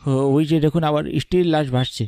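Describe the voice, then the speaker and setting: A man's voice: on the high side, fairly quick, dark tone, slight background noise. A man voicing several frightened village men in a horror cartoon, stammering often. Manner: stammering, fearful. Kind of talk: cartoon voice acting. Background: music.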